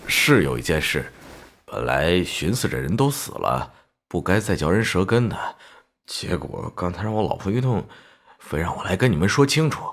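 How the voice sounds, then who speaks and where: A sheepish working-class driver in his forties for an animated crime series. The voice is deep but lively in pitch, with a folksy, deferential, hesitant way of talking to authority. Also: moderate pace, exaggerated voice. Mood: hesitant, confiding. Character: sheepish, henpecked 45-year-old working-class male driver. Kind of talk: animated crime drama dialogue.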